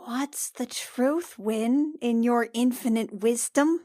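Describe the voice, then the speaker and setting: A woman's voice: fairly high pitch, slow pace, warm tone, dry recording, clean studio sound. A woman playing a sarcastic, foul-mouthed reluctant wife, flustered and repeating herself, in a tabletop game. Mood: exasperated.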